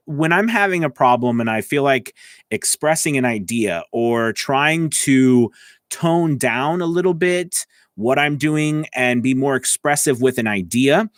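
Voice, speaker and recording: A man's voice: mid-range voice, no room sound, studio quality. A man hosting a casual live tutorial as a coach, explaining conversationally and checking in with the listener as he goes. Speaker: voice-over coach and show host.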